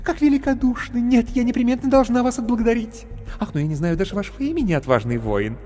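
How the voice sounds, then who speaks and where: A man's voice: very high-pitched, animated, quick pace, dark tone, pitched above the performer's own voice. A man voicing an effusive young woman clown who chatters theatrically and showers praise. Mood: gushing. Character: gushing young woman clown, breathless and flattering. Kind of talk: audiobook character voice. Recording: reverberant with background music.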